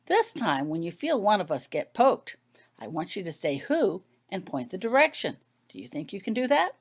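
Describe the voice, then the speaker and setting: A woman voicing a gentle, instructing male wizard healer in a storybook reading, polite and sometimes grudging. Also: medium pitch, big pitch swings, moderate pace, slightly rough.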